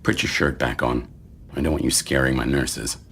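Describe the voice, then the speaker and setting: A man playing a sardonic doctor in his fifties, deadpan and wry, in a screenplay reading. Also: moderate pace. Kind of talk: screenplay table read. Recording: faint low rumbling noise.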